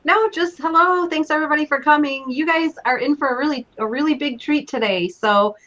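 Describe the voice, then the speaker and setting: Brief female co-host, high-pitched, upbeat hype, muffled call sound. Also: brisk, a bit bright. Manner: upbeat, hyping the guest. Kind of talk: casual webinar hosting. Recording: muffled lo-fi audio from a distance.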